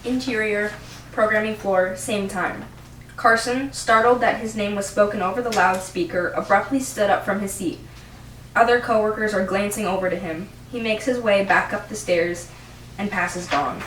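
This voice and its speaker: A woman's voice: mid-range voice, steady tone, moderate pace, fairly bright tone. A young adult woman reading stage directions as the narrator of an amateur script read. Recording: roomy, distant mic, faint noise.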